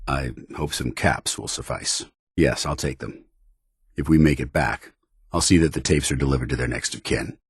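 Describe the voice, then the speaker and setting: An actor playing a stern, earnest, dutiful male soldier in a video game, speaking with formal, measured military diction. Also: extremely low pitch, husky, dry recording, studio quality, exaggerated voice. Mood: dutiful, helpful. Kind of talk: video game voice acting, companion dialogue.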